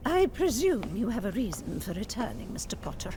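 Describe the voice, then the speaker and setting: An actress with a British accent voicing a stern, commanding older witch professor in a video game, brisk and formal. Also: mid-range voice, sing-song, background noise, natural voice. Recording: loud music over the voice.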